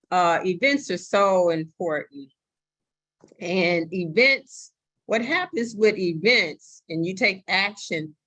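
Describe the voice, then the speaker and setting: A woman's voice: on the low side, a bit bright. A woman on a video call giving an emphatic motivational talk to her team and introducing a video. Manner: emphatic, repetitive.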